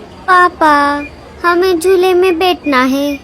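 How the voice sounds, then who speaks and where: A woman's voice: extremely high pitch, slow, fairly bright tone, a little hiss or hum, heavily acted. A woman voicing an eager, naive little boy bird who pleads and exclaims in a children's cartoon story. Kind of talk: Hindi cartoon story dialogue.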